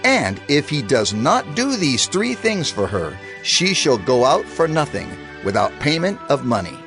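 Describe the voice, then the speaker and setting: Gravelly, emphatic Moses, solemn prophet played by a man. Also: mid-range voice, wildly swooping pitch, a little slow, over music. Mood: solemn, stern. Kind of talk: dramatized scripture audio drama.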